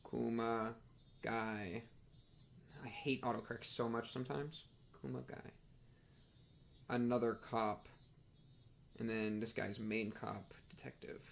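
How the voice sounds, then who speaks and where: A man's voice: fairly low pitch, creaky, slight background noise. A young man giving casual, slangy playthrough commentary on a visual novel and reading text aloud. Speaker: streamer playing a visual novel.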